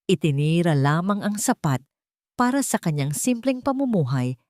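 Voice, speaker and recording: A woman with a Filipino accent narrating a scripted romance story in measured, dramatic phrases. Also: lowish voice, big pitch swings, darkish tone, dry recording, clean studio sound.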